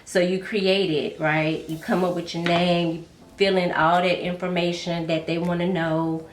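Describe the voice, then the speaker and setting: A woman's voice: fairly low pitch, flat delivery, moderate pace, a little hiss or hum. A woman vlogger chatting and confiding as she does her makeup at home, calling viewers baby and girl, sounding a little congested and nasal. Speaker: beauty and fragrance vlogger. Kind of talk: casual get-ready-with-me chat. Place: room at home, doing makeup. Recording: echoey room with faint music.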